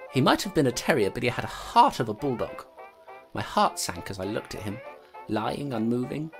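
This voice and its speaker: An actor playing a nervous male rat constable in an audio drama, speaking in a rambling, halting way. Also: medium pitch, very animated, vocal fry, over music.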